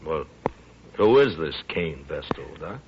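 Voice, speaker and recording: Gruff old-radio cowboy lawman, american, dry humor, swings up and down a lot, muffled noisy recording. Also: rumbling low, very animated, unhurried, full character voice. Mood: sober, thoughtful. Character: dry, gruff, good-humored frontier U.S. marshal. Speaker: radio drama actor. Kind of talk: 1950s radio western acting.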